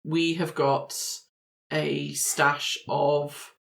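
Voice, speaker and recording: A woman with a distinctly low voice plays a tabletop game. She improvises the action in a casual, chatty style. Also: flat delivery, very slow, vocal fry.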